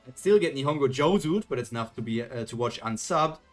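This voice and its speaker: A man's voice: mid-range voice, lively intonation, brisk, soft background music. Chatty streamer man. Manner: chatty, self-questioning, reads chat aloud. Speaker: webtoon reaction streamer. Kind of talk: live reading reaction.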